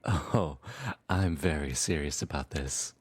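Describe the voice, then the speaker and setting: A man acts out a scheming trickster god in a fantasy audio drama. His voice is deep and a touch rough, recorded dry and close, with lively swoops as he teases with unhurried, theatrical politeness. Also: dry recording, exaggerated voice. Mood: sly, persuasive. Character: sly, teasing trickster god, smooth-talking schemer. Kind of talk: audio roleplay acting.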